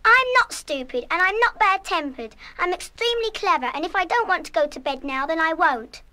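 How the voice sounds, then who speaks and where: A woman's voice: very high voice, lively intonation, a little fast, pushed and bright, full character voice. An actress playing a naughty, defiant, spoiled girl of about eleven in a children's radio play, petulant and emphatic. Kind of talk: full-cast children's radio play acting.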